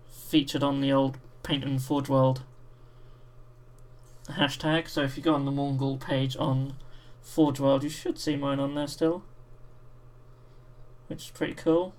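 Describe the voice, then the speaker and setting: A British man with a croaky voice hosting a livestream, chatting casually and reading out viewer messages while painting miniatures. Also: mid-range voice, measured pace, long pauses. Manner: relaxed, reads out chat messages. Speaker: livestream host.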